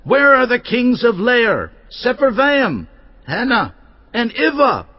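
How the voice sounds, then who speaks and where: High-pitched, boastful Assyrian envoy. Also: male voice, big pitch swings, takes it slowly, dull audio, heavily acted. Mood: taunting. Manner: declamatory, taunting. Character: menacing, boastful Assyrian envoy delivering a king's threat. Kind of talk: dramatised audio Bible acting.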